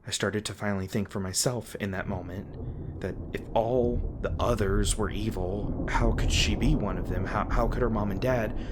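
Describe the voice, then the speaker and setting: A man playing a haunted monster hunter in an intimate audio roleplay, guarded but remorseful, confessing his painful past in a halting, hesitant way. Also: on the low side, darkish tone, a little hiss or hum, lightly acted. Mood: anguished.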